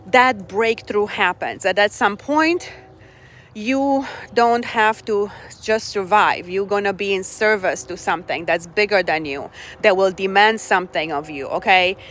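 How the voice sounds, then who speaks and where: A woman's voice: medium pitch, a bit bright. A middle-aged woman from Romania, giving casual life advice in an earnest way and often checking in with her listeners. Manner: earnest, keeps checking in with "okay?" and "right?".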